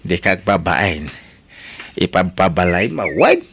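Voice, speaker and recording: A man's voice: low-pitched, sing-song, a little slow, creaky, a bit bright, breathy edge, heavily acted. A man playing a kindly, fatherly farmer uncle in a radio drama, easygoing and speaking with an Ilocano accent. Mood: welcoming. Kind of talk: Filipino radio drama acting.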